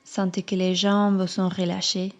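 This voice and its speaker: A woman yoga teacher calmly leading a guided meditation in measured, instructing phrases. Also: mid-range voice, lo-fi sound, faint music behind. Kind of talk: slow guided meditation narration.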